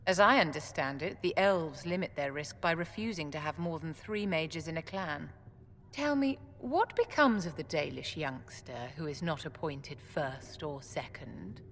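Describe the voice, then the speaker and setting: An actress playing a haughty, elegant court mage in a video game, measured and condescending. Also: female voice, low-pitched, lively intonation. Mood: pointed, superior. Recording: reverberant hall, faint background noise.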